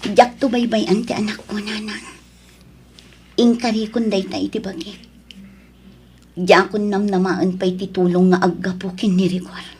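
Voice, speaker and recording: A woman's voice: mid-range voice, level tone, unhurried, pauses often, darkish tone, a little hiss or hum, lightly acted. A woman acting a protective, devoted mother in an Ilocano family drama, with heartfelt delivery. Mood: resolute, hurt.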